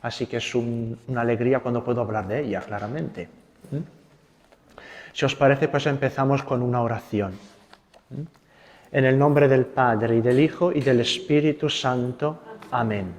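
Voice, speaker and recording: A young man from Italy, a Carmelite friar with an Italian accent, giving a gentle spiritual talk and leading prayers. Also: medium pitch, in a large hall. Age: young adult. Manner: gentle, unhurried, adds tag questions.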